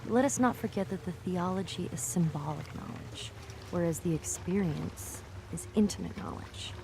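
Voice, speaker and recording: A woman reading a philosophical essay aloud in a measured way. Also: on the low side, noisy background. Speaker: reader.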